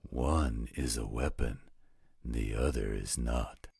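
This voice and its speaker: Man voicing a gravelly, rock-bottom werewolf stepfather. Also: a bass voice, a little mellow, no room sound, heavily acted. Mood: matter-of-fact, patient. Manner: gruff, dry, unhurried. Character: gruff, patient older werewolf stepfather. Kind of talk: scripted roleplay audio drama.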